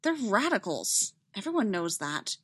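A woman's voice: medium pitch, sing-song, a little slow, breathy edge, no room sound. Woman voicing a fearful, pleading young Jedi woman. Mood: urgent pleading. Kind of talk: dramatic audiobook character dialogue.

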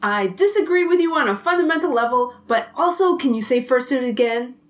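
A woman's voice: sits high, animated, a little fast. A woman playing a boyish, wisecracking male police detective, playful and enthusiastic. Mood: playful, defiant.

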